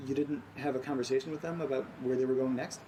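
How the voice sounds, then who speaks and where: An actor playing an anxious, earnest man in a naturalistic audio drama, speaking in a hesitant, halting way. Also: mid-range voice, steady tone, mellow, slight background noise, lightly acted, poor audio. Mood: uneasy, pressing. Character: anxious, earnest man recording strange events at home. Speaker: lead character of a horror audio drama.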